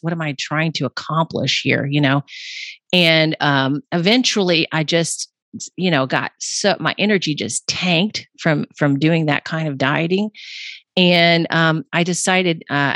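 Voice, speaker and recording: A woman guest who writes on nutrition, talking casually in a rambling way with many fillers, her voice a little hoarse today. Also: low voice, fairly bright tone, dry recording, studio quality. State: slightly hoarse. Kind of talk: casual podcast conversation.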